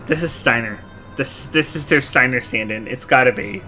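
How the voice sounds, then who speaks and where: Bright-voiced playful man commentating on a game. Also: medium pitch, moderate pace. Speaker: game streamer. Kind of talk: casual playthrough commentary. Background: game music.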